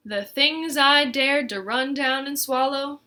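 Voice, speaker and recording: A woman's voice: high-pitched, takes it slowly, pushed and bright, heavily acted. A woman voicing a boastful old male snake in a storybook, speaking emphatically. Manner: bragging, emphatic.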